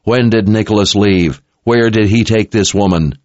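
A man's voice: on the low side, normal speed, a little mellow, no room sound, pitched above the performer's own voice, lightly acted. A man voicing a determined, terse and demanding male warrior leader in a fantasy audiobook. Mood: stern, demanding. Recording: muffled, dull-sounding recording.

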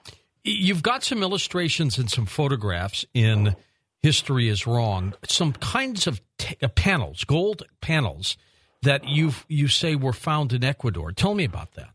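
A man's voice: medium pitch, sing-song, unhurried, fairly bright tone, husky. A man interviewing a guest, asking conversational questions in a reassuring way. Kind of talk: radio interview.

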